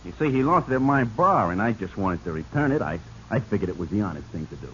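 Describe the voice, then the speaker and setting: A man's voice: on the low side, sing-song, fast talker. An actor playing a nervous, humble male barkeeper in a 1940s radio drama. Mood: nervous, explaining. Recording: very noisy, muffled old radio recording.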